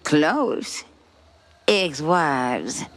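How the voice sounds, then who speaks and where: An actress playing a sly, superstitious swamp fortune-teller with a Louisiana Cajun accent, ominous and teasing, in a cartoon game. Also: female voice, low-pitched, expressive, very slow, no echo, heavily acted. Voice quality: croaky, gravelly.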